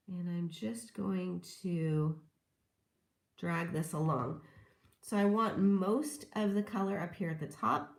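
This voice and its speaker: A woman walks through a card-making project in an unhurried, friendly way, chuckling softly as she works. Her lowish voice has a gravelly edge and a touch of hoarseness from a cold. The sound carries some echo from the room. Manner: gentle, chatty, laughs softly while working. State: slightly hoarse from a lingering cold. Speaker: papercrafting instructor. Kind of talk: casual craft tutorial demonstration.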